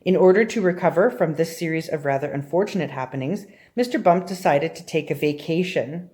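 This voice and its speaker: A woman's voice: low voice, fairly quick, dark tone. A woman reading a children's story aloud expressively, often turning to address young listeners directly with questions. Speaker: storybook reader.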